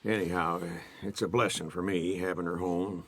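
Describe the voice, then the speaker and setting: An actor playing a blunt, jovial, arthritic old preacher in a radio drama, with a folksy, teasing manner. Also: male voice, sits low, steady tone, normal speed, a bit bright, husky, no room sound. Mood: rueful. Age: older.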